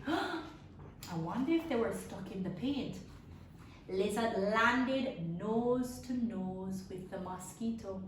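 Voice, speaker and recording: Slow, theatrical woman storyteller in echoey room. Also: on the high side. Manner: theatrical, with emphatic drawn-out words and questions to listeners. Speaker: storyteller reading aloud to children. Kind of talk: dramatic read-aloud story narration. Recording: echoey room, distant mic, faint noise.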